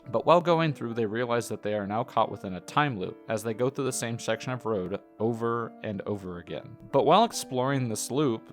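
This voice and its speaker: A male video host reads a scripted review in a mid-pitched voice. He speaks with opinionated emphasis, stressing his points as he goes. Music plays behind his narration. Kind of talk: scripted review commentary voice-over. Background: background music.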